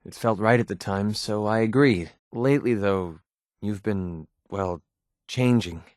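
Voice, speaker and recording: A man's voice: lowish voice, measured pace, darkish tone, no echo, natural voice. Man acting a guarded, plain-spoken mercenary, close and dry. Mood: earnest, troubled. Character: guarded, wounded young male mercenary, sarcastic, quick-tempered but loyal. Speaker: companion character in a video game. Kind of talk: naturalistic video game voice acting.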